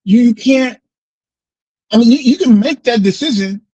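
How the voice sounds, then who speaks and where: An American man in a casual debate, explaining in an animated way, repeating words and often checking with "right?". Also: extremely high pitch, normal speed, breathy edge, no echo, dull audio. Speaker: discussion participant answering questions.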